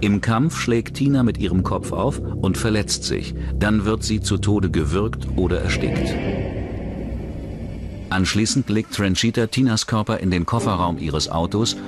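A man with a deep voice narrates a true-crime documentary. He reads from a script in the role of the storyteller, and music runs clearly behind his words. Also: measured pace. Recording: music under the voice. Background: background music.

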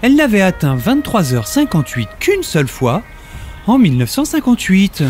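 A man playing an anxious, excitable, self-satisfied husband in a comic audio drama, with an animated, flustered delivery. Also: high-pitched, moderate pace, soft background music. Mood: agitated. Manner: animated, indignant, hesitant.

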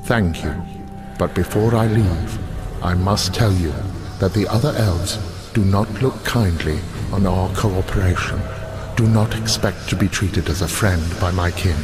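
An actor playing a solemn, earnest elf envoy in a video game, with formal, measured phrasing. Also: male voice, deep voice, music in the background. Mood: formal, resolved.

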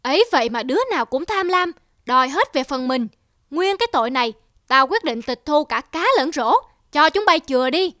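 A woman's voice: sits high, lively intonation, a little fast, fairly bright tone, dry recording, clean studio sound. A woman voicing a greedy, pompous middle-aged male mandarin in a cartoon, scolding and blustering. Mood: stern.

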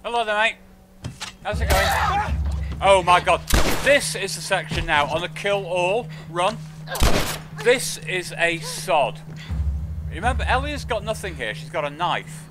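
A man giving live gameplay commentary as a streamer, grumbling and explaining haltingly. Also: high-pitched, expressive, over music. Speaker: game streamer. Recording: game gunfire and noise behind.

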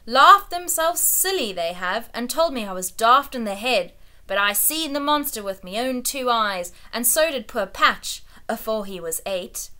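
A woman's voice: on the high side, expressive, unhurried, bright, forceful tone. A woman doing a tearful old shepherd. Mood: earnest. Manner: rustic country dialect, wheezing. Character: poor old shepherd man, tearful, humble.

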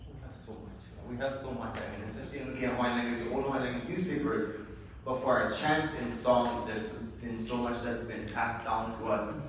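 A man speaking as a panelist at a discussion, soft-spoken with frequent fillers, into a handheld microphone. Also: medium pitch, level tone, brisk, a crowd in the background. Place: large hotel meeting room. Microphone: handheld mic, recorded by a far camera. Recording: echoey hall from far off.

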